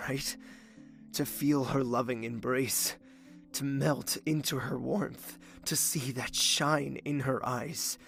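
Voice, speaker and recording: A man playing a scarred, brooding young prince in an audio drama, with a weary, halting delivery full of sighs. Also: medium pitch, creaky. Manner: slow, halting, sighing delivery. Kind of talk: audio drama acting, inner monologue. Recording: loud music behind the voice. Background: loud music.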